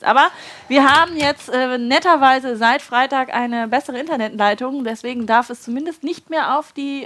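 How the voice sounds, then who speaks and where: A woman's voice: mid-range voice, fairly bright tone. A woman in her late thirties hosting a live sewing stream, chatting casually with many fillers and frequent laughter. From Germany. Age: 30s. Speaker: host.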